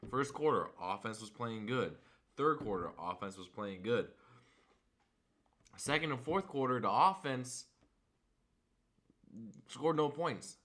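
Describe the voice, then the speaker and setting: A hoarse young man, a frustrated fan, recapping a football game and reading off stats in a casual vlog. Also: lowish voice, a bit bright. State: hoarse from screaming.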